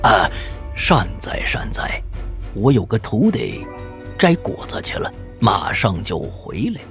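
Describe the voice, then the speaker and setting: A storyteller voicing a gentle, pious male monk master with a measured, courteous manner, in an audio picture-book drama. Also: medium pitch, wildly swooping pitch, faint music behind, pitched below the performer's own voice, full character voice. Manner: measured, courteous, hesitant openings.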